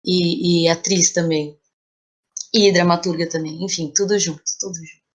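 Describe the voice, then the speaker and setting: A woman's voice: lowish voice, fairly even intonation, normal speed, slightly rough. A woman with a Brazilian accent speaking as an interview guest, explaining things in a conversational, reflective way. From São Paulo, Brazil. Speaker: podcast guest, playwright, actress and teacher.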